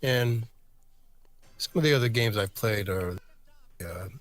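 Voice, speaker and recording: A man answering as an interview guest, a voice actor talking casually in a halting, reflective way. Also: on the low side, no room sound.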